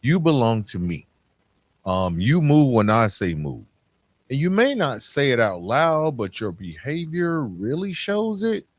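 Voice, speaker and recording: A man with a mid-pitched voice delivers a reflective, motivational podcast monologue. He addresses the listener directly and leans on deliberate pauses for emphasis. The sound is dry, with very little room in it. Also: very animated, dry recording. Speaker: podcast host.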